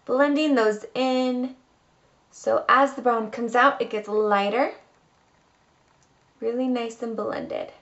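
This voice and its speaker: A woman's voice: a little high, fairly even intonation, takes it slowly, long pauses, a bit bright, highs cut off. A woman in her twenties giving a casual, chatty makeup tutorial as a beauty vlogger, recorded at home on a camera mic. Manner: chatty, informal. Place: small room at home.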